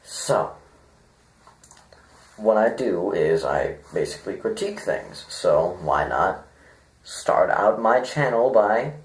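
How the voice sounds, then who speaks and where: A man giving an informal, rambling critique to viewers, deadpan and self-deprecating, halting as he thinks aloud. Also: on the low side. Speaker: video reviewer.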